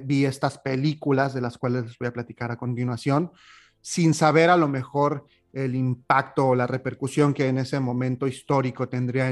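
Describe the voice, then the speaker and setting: A young man from Mexico with a Mexican Spanish accent, hosting a solo podcast in a chatty way, with a lot of "eh, pues". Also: mid-range voice. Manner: chatty, warm-hearted, with filler "eh, pues". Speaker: podcast host.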